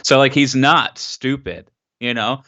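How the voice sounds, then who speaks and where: A man's voice: mid-range voice, a little slow, bright, forceful tone, muffled recording. A man from Pennsylvania, a podcast guest chatting casually and swearing freely. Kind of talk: casual chat.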